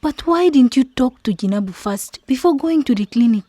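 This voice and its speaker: A woman playing a gentle, anxious young village woman and caring friend in a radio drama, speaking softly and earnestly. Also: high-pitched, animated, normal speed, no room sound. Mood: concerned. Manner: soft, earnest.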